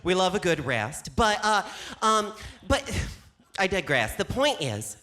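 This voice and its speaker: A man's voice: high-pitched, big pitch swings, takes it slowly, pushed and bright. Rambling awkward drag queen comic. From Seattle. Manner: rambling, awkward, many ums. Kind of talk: stand-up comedy. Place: theater stage with live audience. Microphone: handheld mic.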